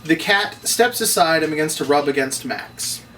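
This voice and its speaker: A man's voice: on the high side, expressive, normal speed, bright, forceful tone. A man narrating stage directions as he reads from a script at a table read. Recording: distant mic, noisy room.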